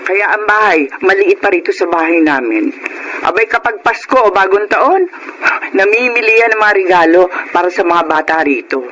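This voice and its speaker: Actress as bright, animated village aunt. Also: female voice, mid-range voice, normal speed, slight background noise, lightly acted, rough recording. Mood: gossipy, warm. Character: chatty village aunt, friendly and knowing. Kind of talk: Filipino radio drama acting.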